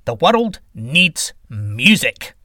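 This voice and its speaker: A man's voice: mid-range voice, very animated, very slow, sharp tone, no room sound, studio quality, heavily acted. A man voicing a bossy, impatient sky god who scolds and hurls insults in a comic story. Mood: exasperated. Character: blustering, bossy, insult-hurling male Aztec sky god.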